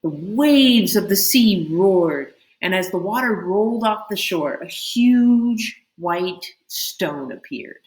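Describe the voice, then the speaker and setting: A woman reading a story aloud as an expressive narrator, with deliberate, dramatic emphasis. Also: mid-range voice. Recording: echoey room.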